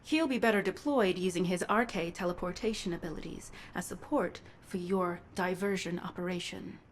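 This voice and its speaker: A woman's voice: lowish voice, normal speed, a little hiss or hum, close to an everyday voice. A woman voicing a composed, confident female recon operative in a video game, matter-of-fact and assured. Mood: businesslike.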